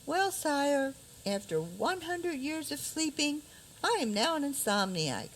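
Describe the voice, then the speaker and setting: A woman's voice: high voice, very animated, a little slow, slight background noise, rough recording. A woman playing a weary, sleepless fairy-tale princess, plaintive and theatrical, in a radio theater comedy. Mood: weary, complaining.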